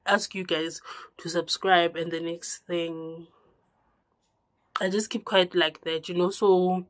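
Young woman vlogger, congested, unhurried low chat to camera in a small room. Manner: chatty, with drawn-out words and sudden drops to a low murmur. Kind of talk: casual direct-to-camera chat.

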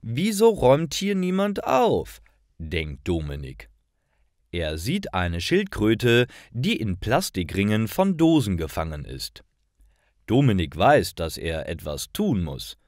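A man reading scripted stories for language learners, with careful, deliberate enunciation. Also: fairly low pitch, huge pitch swings, a little mellow, no echo, clean studio sound. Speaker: language-course narrator.

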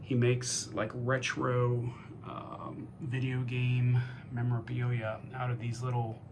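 A man casually narrating a walk-through tour of his basement game room, offhand and pausing often. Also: mid-range voice, completely flat delivery. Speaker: homeowner giving a video tour. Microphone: handheld phone, behind the camera. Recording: noisy indoor phone video.

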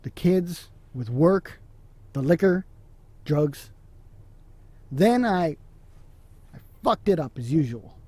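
A man acting as a guilt-ridden drinking husband in an audio drama. His voice is husky and mellow, slightly high for a man, and he talks in a halting, downcast way. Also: big pitch swings, dry recording, full character voice. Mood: despairing. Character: despondent, guilt-ridden alcoholic husband and father.

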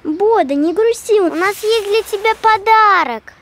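High, slow-speaking cartoon child. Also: female voice, a bit bright, heavily acted. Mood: gentle, encouraging. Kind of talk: children's educational cartoon acting.

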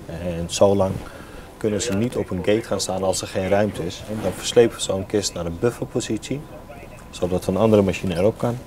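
A man's voice: sits low, fairly even intonation, a little fast, a crowd in the background. A man in his thirties, an airport apron controller describing his job informally and plainly. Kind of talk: documentary interview about work. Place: glass-walled airport control tower office.

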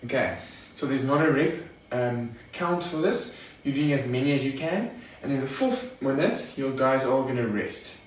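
A man's voice: medium pitch, animated, normal speed, few pauses. A young man coaching a workout demonstration in a casual, instructional manner. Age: young adult. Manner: casual, instructional, addressing viewers as guys. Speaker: fitness coach. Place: garage home gym. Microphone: camera a few metres away. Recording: echoey garage, distant mic, faint noise.

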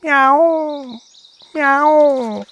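A man's voice: extremely high pitch, very slow, a bit bright, pitched above the performer's own voice, full character voice. A man voicing a small hungry cat with meowing cries in a fairy tale.

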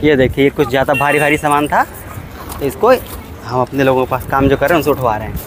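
Chatty man pitching his unboxing. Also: steady tone, a little fast, background noise. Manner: chatty, keeps addressing viewers as brother; repeats points for emphasis. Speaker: vlogger. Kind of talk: casual unboxing vlog.

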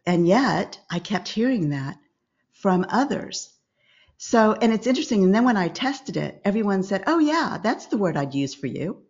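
An older woman, a business coach, speaking calmly and instructively as a guest in a video-call interview. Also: medium pitch. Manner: calm, measured and instructive. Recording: slightly dull video-call audio.

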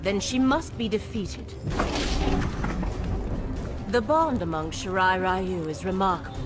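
A woman's voice: mid-range voice, slow pace, close to an everyday voice. A woman playing a proud, composed warrior bodyguard in a video game, speaking formally and with assurance. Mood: solemn. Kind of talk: video game character acting. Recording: loud music behind.

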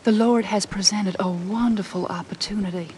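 Serene, manipulative middle-aged cult matriarch, played by an actress, solemn game cutscene delivery, faint background noise. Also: female voice, mid-range voice, steady tone, moderate pace, dark tone, natural voice. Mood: solemn, persuasive. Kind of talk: scripted game cutscene acting.

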